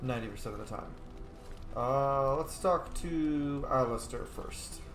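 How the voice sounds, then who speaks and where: A man's voice: medium pitch, measured pace, fairly bright tone, soft background music. A man giving chatty, joking commentary while playing a game. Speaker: gamer. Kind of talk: casual gameplay commentary. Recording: echoey room mic.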